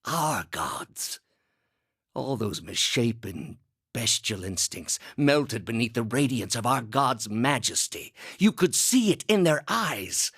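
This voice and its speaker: A man playing an ancient, zealous high priest villain in a fantasy video game, grave, measured and condescending in a theatrical, oratorical way. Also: very animated, creaky, a bit bright, husky, no room sound, clean studio sound, full character voice. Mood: grave preaching.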